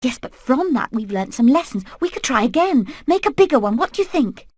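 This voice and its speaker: A woman's voice: high voice, very animated, fairly quick, dry recording. A woman voicing a determined hen leader in a video game, earnest and purposeful. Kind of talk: animated video game acting.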